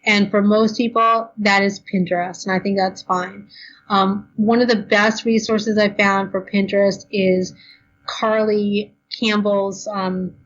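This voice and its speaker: A woman guest on an interview, talking casually and quickly with lots of fillers, tired and a bit hoarse. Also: medium pitch, flat delivery, highs cut off. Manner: chatty, fast, lots of ums and you knows. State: tired, losing her voice. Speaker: blogger guest. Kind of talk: podcast interview, casual advice.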